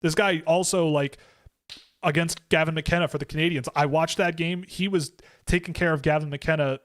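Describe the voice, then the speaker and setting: Brief: male livestream host, casual and rambling, slightly high voice, dry close sound. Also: fairly even intonation, normal speed, fairly bright tone, dry recording. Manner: rambling and conversational, many fillers and restarts. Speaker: host of a hockey draft livestream. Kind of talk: casual livestream commentary answering chat.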